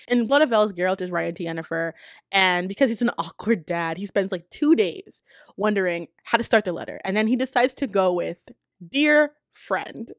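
An American woman in her thirties giving casual, opinionated commentary in an informal, slangy way with animated emphasis. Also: medium pitch, dry recording, studio quality. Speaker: video essayist and host. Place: small indoor room with poster-covered walls. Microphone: close studio mic on a boom arm.

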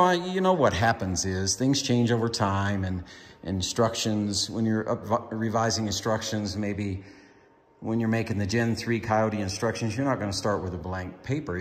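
A man with a deep voice talks casually through his car build in a garage workshop. He sounds easygoing and matter-of-fact as he explains things from behind the camera. The room rings with long reverb and has clear background noise. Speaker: hobbyist car builder presenting a build update. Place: indoor home garage workshop. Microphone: handheld camera, speaker behind it.

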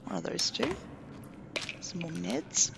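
A man's voice: sits high, slow pace, creaky, heavy background noise. A man casually commentating a survival game, thinking aloud as he plays. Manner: relaxed, chatty, thinking aloud while playing. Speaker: gaming commentator. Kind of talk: casual let's play commentary.

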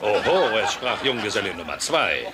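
An actor playing a resentful, sarcastic middle-aged husband and father in a German-dubbed sitcom, gruff in manner. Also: fairly low pitch, sing-song, normal speed, a loud crowd, heavy background noise. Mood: mocking.

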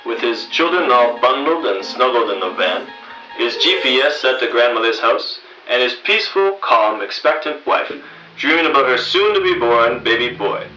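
Bright-voiced man narrating in echoey room. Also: mid-range voice, lively intonation. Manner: measured, dramatic pauses. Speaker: host narrator. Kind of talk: solemn mock-suspense scripted narration. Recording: dull, echoey, slightly distant, music behind.

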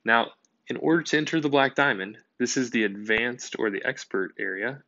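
Gravelly-voiced man explaining a lesson. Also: medium pitch, moderate pace, no echo, highs cut off. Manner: steady explanatory, occasional "um". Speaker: teacher. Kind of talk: whiteboard lesson narration.

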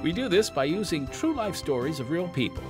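A man's voice: fairly high pitch, big pitch swings, a little fast, music in the background. Casting: American male host, folksy scripted storyteller, hugely varied intonation. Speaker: podcast host. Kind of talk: scripted, folksy dramatic hosting narration.

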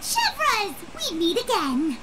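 A woman voicing a tiny, chatty fairy-like girl companion in an anime game, with a squeaky childlike voice. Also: huge pitch swings, slow, very noisy, full character voice. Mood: cheerful. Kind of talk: anime video game dialogue.

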